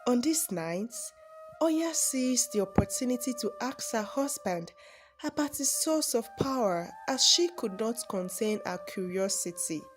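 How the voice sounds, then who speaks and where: A woman's voice: a little high, lively intonation, a little slow, music in the background. A woman narrating a scripted folktale as a storyteller hosting for viewers. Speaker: storyteller and channel host.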